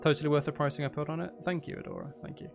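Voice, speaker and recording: A man's voice: on the high side, level tone, racing, gravelly, over music. A man hosting a casual gaming livestream, rambling and thinking aloud with playful, mock-dramatic asides. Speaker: livestream host.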